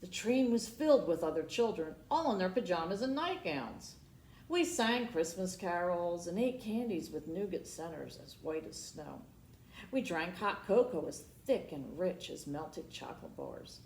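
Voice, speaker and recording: A woman hosting a storytime, reading aloud expressively for children and dropping in asides, moving between hushed and emphatic delivery. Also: fairly low pitch, animated, unhurried. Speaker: library storytime host. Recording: roomy echo with faint background noise.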